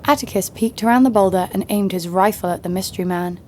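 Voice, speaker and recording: A woman's voice: medium pitch, fast, a little mellow, slight background noise, close to an everyday voice. A woman playing a tough, sardonic young bounty hunter who narrates an audio drama in a wry, world-weary way. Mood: tense narration. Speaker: narrator and lead.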